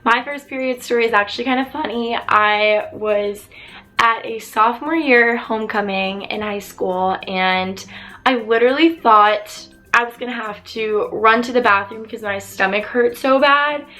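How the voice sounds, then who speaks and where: A young woman vlogger chatting openly to camera while answering viewer questions, her voice a little raspy from losing it. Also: fairly high pitch, moderate pace, pushed and bright. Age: young adult. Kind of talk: casual chat to camera.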